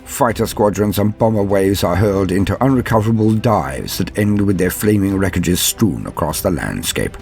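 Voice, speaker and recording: Male narrator for scripted lore: theatrical and grave, low register with wide, expressive swings. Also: gravelly, faint music behind. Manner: grave, theatrical, measured storytelling. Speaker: lore channel narrator and host.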